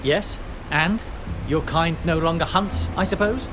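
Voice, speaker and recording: An actor playing a gruff, suspicious foreman with a curt, dismissive manner in a video game. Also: fairly high pitch, a little slow, noisy background, lightly acted. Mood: sceptical, dismissive. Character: gruff, sceptical male foreman suspicious of natives. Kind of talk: video game character acting.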